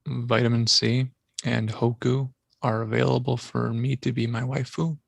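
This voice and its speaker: A man co-hosting a casual podcast, tossing out references and puns in a deadpan way. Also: mid-range voice, moderate pace, creaky, dry recording. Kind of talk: casual talk, trading music and film references.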